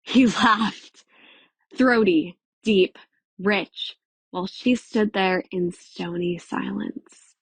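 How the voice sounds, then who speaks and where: A woman narrating a dramatic audiobook in a measured, expressive storytelling manner. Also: mid-range voice, husky, no room sound, rough recording. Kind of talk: scripted dramatic fiction narration.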